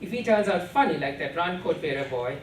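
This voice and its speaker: A man reading the part of a stern, accusing father in a novel, forceful and scolding. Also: on the high side, expressive, brisk, natural voice. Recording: roomy, distant, faintly noisy.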